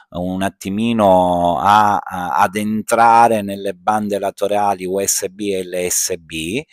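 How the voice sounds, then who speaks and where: A man's voice: fairly low pitch, no echo, clean studio sound. A man from Sicily, casually demonstrating small radios to camera at home in a rambling, chatty way. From Sicily, Italy. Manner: chatty, rambling, repeats fillers like insomma. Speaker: ham radio hobbyist and channel host. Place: indoor room at home.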